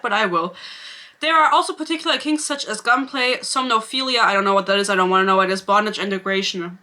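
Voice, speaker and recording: A woman's voice: medium pitch, bright, forceful tone. A young woman with a German accent, ranting sarcastically and swearing freely in a casual book review vlog to camera.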